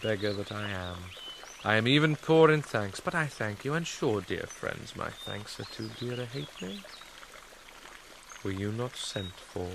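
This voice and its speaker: An actor playing a young prince feigning madness, sardonic, playful and bitter in a theatrical Shakespearean radio drama style. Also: male voice, fairly low pitch, expressive, measured pace, vocal fry, background noise. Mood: probing.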